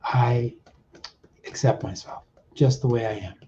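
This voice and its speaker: A man working as a coach, leading a guided self-help exercise in short, deliberate, repeated phrases with pauses between them. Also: medium pitch, fairly even intonation, dark tone, slight background noise. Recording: dull, muffled lo-fi audio.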